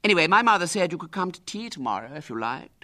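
A man's voice: on the high side, big pitch swings, quick pace, bright, forceful tone, full character voice. A reader voicing a spoiled, self-important famous little boy with a haughty manner. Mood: dismissive.

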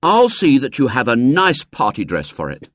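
A swaggering young boy who blusters and boasts, his voice lifted a little and lively, as a man puts him on. The sound is dry and close. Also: mid-range voice, moderate pace, dry recording, pitched above the performer's own voice. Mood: blustering. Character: swaggering schoolboy, cocky but soft-hearted.

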